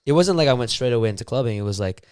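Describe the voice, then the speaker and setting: A man's voice: mid-range voice, lively intonation, rapid-fire, darkish tone, clean tone, no echo, studio quality. A young man from Canada co-hosting a podcast, talking loosely with lots of fillers and casual swearing. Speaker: podcast co-host. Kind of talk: casual chat.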